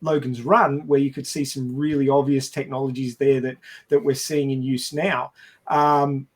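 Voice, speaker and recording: A man talking casually about film and science as a podcast panelist, explaining things haltingly with a wry, jokey streak. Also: mid-range voice, flat delivery.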